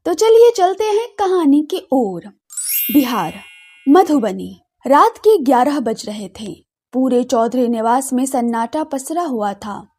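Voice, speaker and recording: A woman narrates a scripted drama story. Her voice sits a little high and has a soft, mellow tone, carrying the scenes as a storyteller. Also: big pitch swings, slow, husky.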